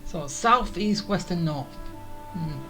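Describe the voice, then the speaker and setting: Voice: medium pitch, lively intonation, slow. A gaming streamer giving casual commentary, thinking aloud in short muttered phrases while playing. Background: game music.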